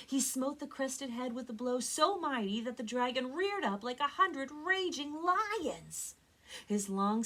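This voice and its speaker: A woman reads a story aloud expressively, with deliberate, dramatic phrasing and strong emphasis, like a librarian at story time. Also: a little high, fairly bright tone. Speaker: librarian reading stories for a library program.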